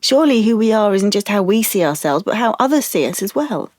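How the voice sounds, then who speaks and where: An actress playing an anxious, wounded young woman in an audio drama, confiding haltingly and stumbling over her words. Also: medium pitch, sing-song, darkish tone, lightly acted. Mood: upset, pleading. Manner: halting, confiding, with stammered restarts. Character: anxious, wounded young woman artist, defensive about privacy. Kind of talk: audio drama monologue and dialogue.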